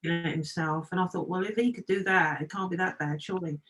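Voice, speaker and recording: A woman's voice: on the low side, fairly even intonation, quick pace, fairly bright tone, faint music behind. A woman talking as a guest in an interview, chatty and earnest, rambling from one thought to the next. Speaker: guest, trance medium. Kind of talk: casual interview chat.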